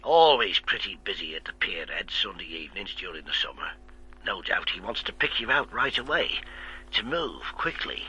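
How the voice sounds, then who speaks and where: An actor in a radio drama playing a gruff middle-aged police inspector with a clipped, wry manner. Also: male voice, low voice, big pitch swings, moderate pace, sharp tone, exaggerated voice, rough recording. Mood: dry, businesslike.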